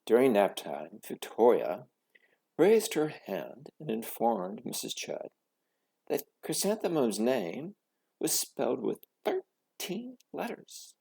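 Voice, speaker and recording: A man reading a children's story expressively, with a dramatic, deliberate delivery full of pauses. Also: mid-range voice, big pitch swings, creaky, warm tone, slightly rough. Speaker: storybook reader.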